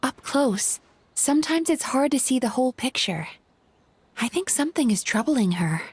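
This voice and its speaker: A woman playing a gentle, caring spirit healer in a video game, speaking in a polite, thoughtful, counselling manner. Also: high-pitched, sing-song, moderate pace, slightly rough, dry recording, exaggerated voice. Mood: concerned.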